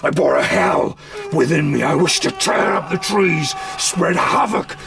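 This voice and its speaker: A man's voice: fairly high pitch, gravelly, music in the background. An actor playing a wretched, vengeful man-made monster in a gothic audio drama, grave and anguished in his telling. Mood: bitter anguish. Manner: slow, grave, anguished storytelling.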